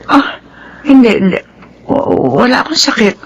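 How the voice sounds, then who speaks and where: A woman acting a troubled boss and battered wife in a radio drama, with an expressive, emotive delivery. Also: a little high, unhurried, husky, dull audio, full character voice. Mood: weary, evasive.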